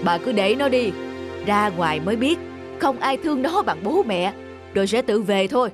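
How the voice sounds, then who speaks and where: A domineering middle-aged father from a cartoon played by a woman. He speaks sternly and with authority, with music clearly behind him. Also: a little high, animated, moderate pace, natural voice. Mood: resentful. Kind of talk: cartoon dub acting.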